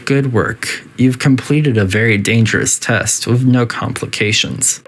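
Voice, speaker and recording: A synthetic voice playing a politely detached, quietly menacing artificial intelligence that makes formal, deadpan announcements in a video game test facility. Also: mid-range voice, measured pace, husky. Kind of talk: scripted game announcer narration.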